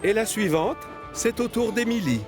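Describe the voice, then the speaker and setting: A man's voice: high-pitched, normal speed, music in the background. A man narrating a children's cartoon in a playful storytelling way.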